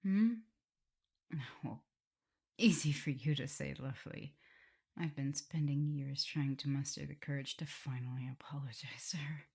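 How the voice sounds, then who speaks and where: A woman playing an affectionate, teasing vampire mistress in an intimate ASMR roleplay, speaking softly and tenderly with a breathy, husky voice. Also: low-pitched, full character voice. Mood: tender, apologetic. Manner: soft, unhurried, tender, gently teasing. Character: affectionate, teasing female vampire mistress and professor, doting lover.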